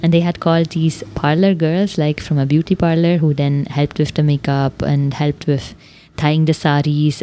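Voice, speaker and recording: Low, mellow woman musing. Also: no room sound. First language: Swiss German. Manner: thoughtful, searching, many filler "like"s. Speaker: podcast host. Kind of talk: reflective personal monologue.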